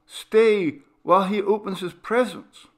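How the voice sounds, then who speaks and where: A man voicing a middle-aged mother in a story reading, with a high, fluttering, placating voice. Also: unhurried. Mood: soothing. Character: anxious soothing mother, woman, middle-aged.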